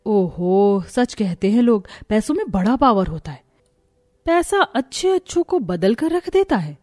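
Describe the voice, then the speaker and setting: A woman voicing a dutiful, caring young daughter-in-law in a cartoon moral story, respectful and gentle in manner. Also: medium pitch, big pitch swings, unhurried. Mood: wry.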